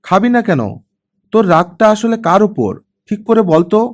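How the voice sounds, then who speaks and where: A man's voice: sits high, expressive, moderate pace, voice pushed up. A man voicing a worried, reproachful middle-aged mother with a cold, probing, scolding manner in an audiobook story. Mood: concerned, probing.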